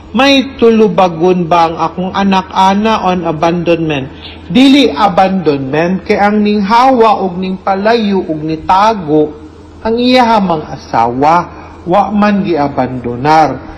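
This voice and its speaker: Cebuano filipina lawyer host giving advice, talks slow n emphatic, bit of english mixed in, some noise behind her. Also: fairly low pitch, darkish tone. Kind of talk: legal advice talk, mixing in English.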